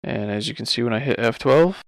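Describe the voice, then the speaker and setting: A man talking informally and offhandedly through a software tutorial as he works at his computer. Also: medium pitch, a little fast, no echo. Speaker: streamer giving a software tutorial. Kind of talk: casual screen-recorded tutorial.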